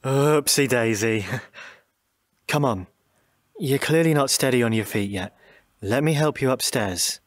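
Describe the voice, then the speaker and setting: An actor playing a gentle, anxious husband in a radio drama, soothing and pleading with some hesitation. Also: male voice, mid-range voice, unhurried, long pauses, no echo, clean studio sound, natural voice. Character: gentle, anxious husband coaxing his confused wife.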